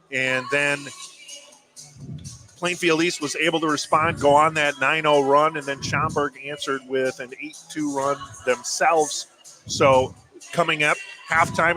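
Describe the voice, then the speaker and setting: A man's voice: a little high, poor audio. A man giving conversational color analysis during a live basketball radio broadcast. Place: at a basketball game.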